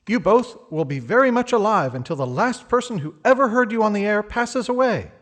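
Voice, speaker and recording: A man playing an earnest, formal announcer in an old-time radio comedy, husky-voiced and flattering. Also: sits high, fairly quick. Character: Harlow Wilcox, polished, earnest 1940s radio announcer.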